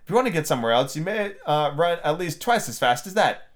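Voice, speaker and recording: Male reader as imperious storybook queen, brisk and bossy, raised pitch, swooping intonation. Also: lively intonation, natural voice. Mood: calm, patronizing. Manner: imperious, instructing. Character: brisk, bossy, hurrying chess queen, adult woman.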